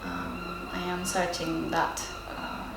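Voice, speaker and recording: A woman from Japan with a Japanese accent, a native Japanese speaker giving reflective, unscripted interview answers, hesitant and searching for words with frequent pauses. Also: fairly low pitch, sing-song, over music. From Sendai area, Japan. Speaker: interviewee, yoga and Japanese teacher. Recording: roomy echo, clear background noise.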